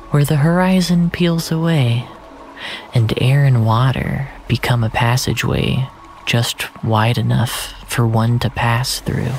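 A man narrating a scripted story in a measured, solemn, literary way, often breathy and dropping toward a whisper. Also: mid-range voice, slight background noise. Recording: narration over a music bed. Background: music.

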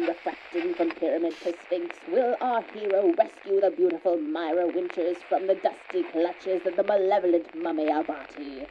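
A woman's voice: lowish voice, mellow. A woman playing a melodramatic, suspenseful radio serial announcer in a 1940s style, heard through an old radio filter. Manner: theatrical, suspenseful delivery. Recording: muffled, noisy old radio broadcast.

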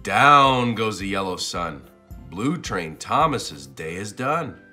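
A man reading a children's storybook aloud in an expressive, sing-song and deliberate way. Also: fairly low pitch, soft background music. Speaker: storybook narrator.

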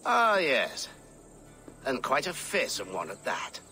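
An actor playing a smug, ambitious middle-aged abbot in a video game, jovial, unctuous and pompous. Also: male voice, mid-range voice, huge pitch swings, slow, pushed and bright, soft background music, heavily acted. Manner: unctuous, pompous, savouring words. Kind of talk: video game cutscene acting.

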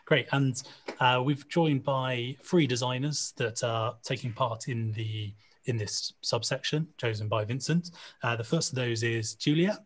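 A man moderating a live panel discussion over a video call, chatty and quick to laugh. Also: mid-range voice. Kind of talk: casual panel hosting.

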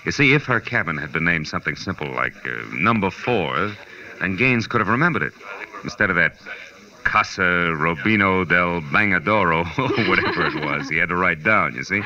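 Deep, hard-boiled radio private eye. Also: male voice, animated, normal speed, pushed and bright, full character voice. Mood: wry, confident. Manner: clipped, slangy, sardonic. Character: wry, tough male private detective, world-weary but kind. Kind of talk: 1940s radio drama acting, hard-boiled first-person narration. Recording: dull 1940s radio recording, faint music.

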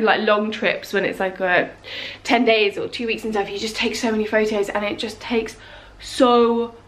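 A young English woman talking casually to her camera, chatty and upbeat with lots of fillers. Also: mid-range voice. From England. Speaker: vlogger. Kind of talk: casual vlog chat to camera. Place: car, then a home kitchen. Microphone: handheld camera at arm's length.

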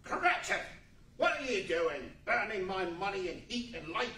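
A man's voice: high-pitched, big pitch swings, unhurried, pushed and bright, full character voice. A man playing a feisty, miserly old man in a staged radio play, scolding and grumbling with sarcasm. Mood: scolding, crabby. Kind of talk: stage readers' theatre as 1940s radio play. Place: large indoor meeting room with seated audience. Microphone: far camera. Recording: echoey hall from far off, faint noise.

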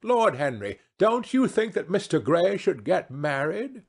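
Guy doing posh old society lady, gushy n bossy, high swoopy voice, takes her time, audiobook. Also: very animated, husky, heavily acted. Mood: arch, teasing. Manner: effusive, drawn-out, theatrical society chatter. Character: witty old aristocratic hostess, gushing and imperious. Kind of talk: dramatised classic novel reading.

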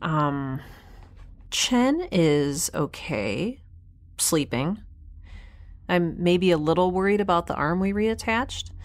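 A woman's voice: on the low side, lively intonation, slow, long pauses. An actress playing a candid young woman scientist in a sci-fi audio drama, recording a rambling, hesitant log with dry wit. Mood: weary, wry. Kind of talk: audio diary narration in scripted drama.

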